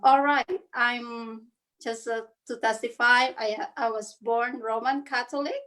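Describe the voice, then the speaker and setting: A woman's voice: a little high, steady tone, measured pace, a bit bright, rough recording. Earnest Filipina-born woman in her thirties. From Philippines. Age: 30s. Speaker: panelist, spa owner. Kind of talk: online panel introduction.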